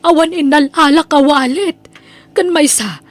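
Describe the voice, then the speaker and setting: A woman's voice: high voice, measured pace, dry recording. A woman with an Ilocano Filipino accent playing a meek, pleading house servant in an audio drama, hesitant and deferential. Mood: pleading, upset. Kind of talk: radio-style audio drama acting.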